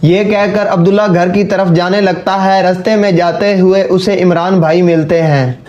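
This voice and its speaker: A man's voice: sits high, steady tone, moderate pace, darkish tone, muffled recording. A man delivering scripted narration for a cartoon moral story.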